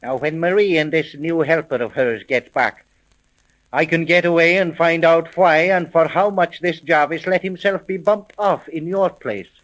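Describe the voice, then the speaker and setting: Veteran police sergeant played by a man, 1930s radio mystery. Wary, blunt, slangy, slightly high male voice. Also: normal speed, fairly bright tone, natural voice, low-quality recording. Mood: suspicious, wary. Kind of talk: 1930s radio serial acting.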